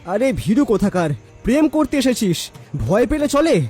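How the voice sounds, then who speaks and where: A man's voice: very high-pitched, big pitch swings, moderate pace, a little mellow, faint music behind. An actor playing a crafty, confident young best friend in a cartoon, bossy and teasing as he urges his pal on. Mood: scheming, teasing. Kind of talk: dramatic cartoon voice acting.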